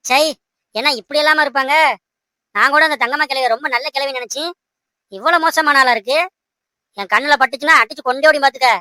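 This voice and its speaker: A woman as an earnest, high-voiced young cartoon fiancé. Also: a little fast, long pauses, pushed and bright, no room sound. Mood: indignant. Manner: emphatic, repetitive, insistent. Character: young man engaged to be married, earnest, protective, hot-headed. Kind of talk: amateur cartoon dialogue in colloquial speech.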